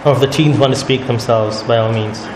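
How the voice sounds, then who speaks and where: Guy leading a study circle, calm explainer, roomy hall sound with noise behind. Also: medium pitch, brisk. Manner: calm, explanatory, often asks "right?". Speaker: religious study circle leader. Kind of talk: religious talk to a group.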